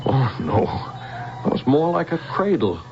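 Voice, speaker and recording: An older, protective American scientist in a vintage radio drama. His voice swings expressively and has a gravelly grain, gentle and earnest with hesitant pauses. The recording is dull, like an old broadcast. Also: fairly high pitch, very animated, very noisy, heavily acted. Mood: reassuring. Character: protective older scientist, tender fatherly guardian to a young woman.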